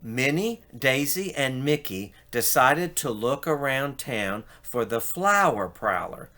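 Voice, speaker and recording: A man reading a children's storybook aloud in an expressive narrating style. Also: medium pitch, slow. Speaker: storybook reader.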